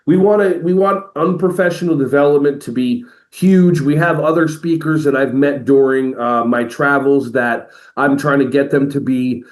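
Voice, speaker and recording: A man speaking as an educator and motivational speaker in a podcast interview, energetic and playful, often slipping into quoted voices. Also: medium pitch, moderate pace, hardly any pauses, echoey room. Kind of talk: animated conversational interview.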